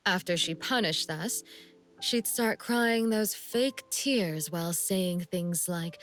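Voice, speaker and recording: An actress voicing a seductive, sadistic female assassin in a video game, languid and teasing, with a deliberate, breathy delivery and cool menace. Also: lowish voice, fairly bright tone, faint music behind. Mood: cool, reflective. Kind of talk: video game character voice lines.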